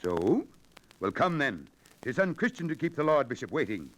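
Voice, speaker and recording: An actor playing a hearty, commanding abbot of royal bearing in a 1940s radio drama, stately and assured in archaic speech. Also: male voice, medium pitch, big pitch swings, moderate pace, a bit bright. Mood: regal, benevolent. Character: hearty fighting abbot, secretly a commanding, kindly king.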